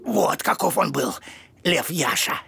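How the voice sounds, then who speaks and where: A man's voice: a little high, big pitch swings, a little slow, gravelly, bright, forceful tone, a little hiss or hum, full character voice. A man voicing a kindly old grandfather dog in a children's cartoon, encouraging and theatrical as a storyteller. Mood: proud, dramatic.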